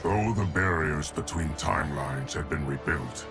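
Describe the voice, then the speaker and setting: Ancient dutiful guardian, deep, very slow, stately, formal game narration, music underneath. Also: male voice. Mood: grave, vigilant. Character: ancient male cosmic watcher of timelines, dutiful, formal. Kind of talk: scripted character epilogue narration.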